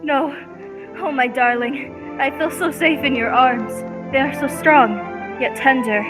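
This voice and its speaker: A young, devoted sweetheart of radio adventure, played by a teenage girl. Her high voice is melodramatic and defiant, with music underneath. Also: unhurried, rough recording. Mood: tender, adoring. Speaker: student actor. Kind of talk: old-time radio serial acting. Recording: music behind the voice.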